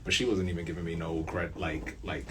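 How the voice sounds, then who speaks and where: A young man with a very deep voice chats casually as an interview guest. His talk is slangy and rambling, with animated storytelling. There is faint noise in the background. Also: fairly quick. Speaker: radio play writer being interviewed. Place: student radio studio.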